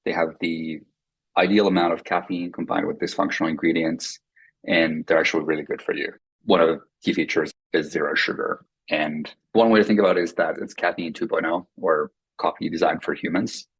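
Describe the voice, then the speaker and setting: Gravelly low-voiced Finnish-native man. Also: level tone, fairly quick, low-quality recording. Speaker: company co-founder, guest. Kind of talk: conversational podcast interview.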